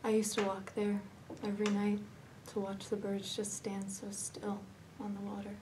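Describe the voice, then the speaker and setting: A woman performing a dramatic monologue as a fragile, haunted young woman, speaking haltingly with hesitant pauses and repetitions. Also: mid-range voice, completely flat delivery, a little mellow, distant mic, slight background noise, natural voice, rough recording. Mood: wistful, hushed.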